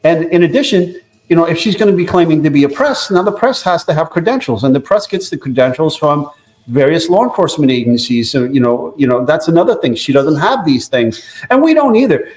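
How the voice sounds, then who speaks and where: A man's voice: fairly high pitch, very animated, a little fast, darkish tone. Man explaining things in echoey room. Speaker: private investigator, co-host. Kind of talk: informal expert commentary. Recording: echoey, roomy microphone sound.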